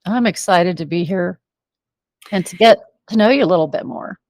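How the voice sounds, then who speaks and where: An American woman speaks as an interview guest, telling personal stories in a reflective, meandering way. Her voice sits low for a woman, with plenty of vocal fry. The recording is close and dry, with little room sound. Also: normal speed, darkish tone, no echo. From United States, Venezuela and Argentina.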